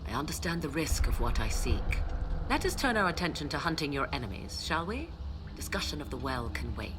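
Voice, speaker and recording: Low-voiced, proud sorceress. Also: female voice, very animated, very noisy. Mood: intense, defiant. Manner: formal, measured, archaic phrasing, haughty. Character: proud, guarded sorceress mother, driven by secret ambition. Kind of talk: dramatic scripted game dialogue.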